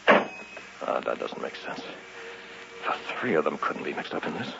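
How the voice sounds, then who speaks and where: A man's voice: very deep voice, very animated, unhurried, vocal fry, full character voice. An actor playing a paranoid, desperate man trapped alone in an old radio horror drama, going between muttering and panicked cries. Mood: fearful muttering. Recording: muffled, hissy vintage radio recording.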